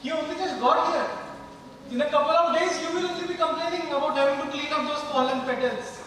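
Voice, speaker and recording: A man's voice: extremely high pitch, fast talker, sharp tone, recorded from a distance, a little hiss or hum. A man acting in a stage play as a chatty, caring nurse and worried parent. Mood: chatty, tender. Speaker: amateur stage actor. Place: stage in a large hall. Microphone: distant microphone. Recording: very echoey hall from afar, music.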